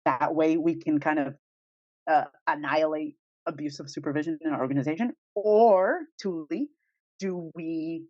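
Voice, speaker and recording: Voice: medium pitch, normal speed, darkish tone, dry recording. A podcast co-host with a mid-range voice talks casually, working through ideas aloud in winding, lightly amused questions. The sound is dry and close, with a slightly dull top end. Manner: thinks aloud in rambling questions, chuckling lightly.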